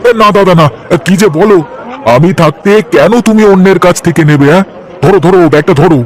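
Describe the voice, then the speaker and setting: A man voicing an eager, defensive village vegetable seller in a cartoon, chatty and folksy with drawn-out hesitations. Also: sits high, some chatter behind, close to an everyday voice. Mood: coaxing. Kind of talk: cartoon voice acting.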